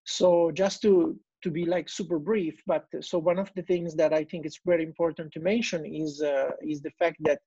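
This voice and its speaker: A man's voice: a little high, little pitch movement, moderate pace, hardly any pauses, dark tone, husky, no room sound, muffled recording. A man in his forties with an Italian accent, giving a business presentation over a video call, hesitant with many fillers and restarts. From Italy. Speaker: guest speaker. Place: small room at home, indoors. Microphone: earbuds on video call.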